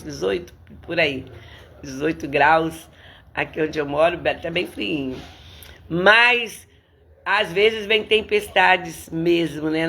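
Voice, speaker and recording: A hoarse woman pastor with a Brazilian Portuguese accent, greeting viewers by name and praying fervently in a livestreamed service. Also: on the low side, a bit bright.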